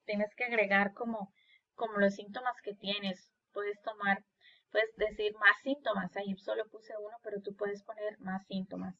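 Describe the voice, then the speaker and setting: A woman's voice: medium pitch, little pitch movement, normal speed, pushed and bright, lo-fi sound. A woman English teacher with a Spanish accent, patiently explaining a worksheet and translating each phrase into Spanish. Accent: Spanish-speaking. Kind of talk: recorded lesson walking through a worksheet.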